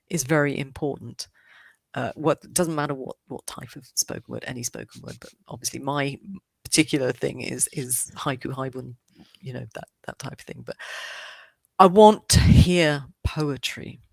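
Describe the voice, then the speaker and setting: A woman's voice: sits low, vocal fry, no echo. A middle-aged woman, a native English speaker living in Switzerland, chatting as a podcast guest in an eager, rambling way with many restarts. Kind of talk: casual interview chat.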